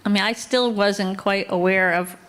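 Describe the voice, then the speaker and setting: A woman at a memorial gathering, telling a story in a loud, chatty way. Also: medium pitch, fairly even intonation, moderate pace, smooth. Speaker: memorial speaker. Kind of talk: casual storytelling. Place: outdoor barn gathering.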